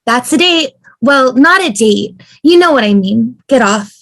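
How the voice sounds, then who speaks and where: A woman's voice: high-pitched, animated, unhurried, a bit bright, no room sound, heavily acted. A woman playing a blunt, teasing friend in a fan table read. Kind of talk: fan table read over video call.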